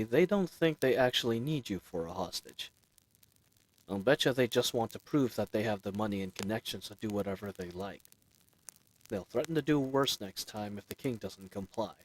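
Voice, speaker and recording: A roguish, friendly bandit leader, acted by a man in a fantasy audio roleplay. His mid-pitched voice swings freely up and down as he chats casually and teases the listener with easy confidence. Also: lightly acted, low-quality recording. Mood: explaining, wry. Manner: casual, chatty, playfully teasing.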